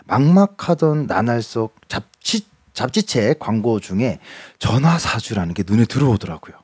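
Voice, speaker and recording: A man's voice: mid-range voice, normal speed, breathy edge, dry recording. A man with a Gyeongsang Korean accent, reading listener stories on a radio show and laughing often as he chats. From Gyeongsang region, Korea. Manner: animated storytelling, laughs often. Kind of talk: casual radio chat.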